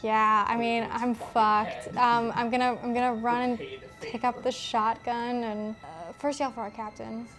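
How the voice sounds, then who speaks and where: A woman with a distinctly high voice plays a tabletop role-playing game. Her talk is casual and excitable, like someone among friends at the table. Soft music runs underneath. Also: unhurried.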